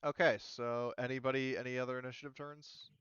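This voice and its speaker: A man acts as game master for a tabletop roleplaying game. His voice is clear and smooth at an average pitch, and he talks informally with some swearing. The sound is dry and slightly muffled. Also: little pitch movement, a little fast, fairly bright tone, no room sound.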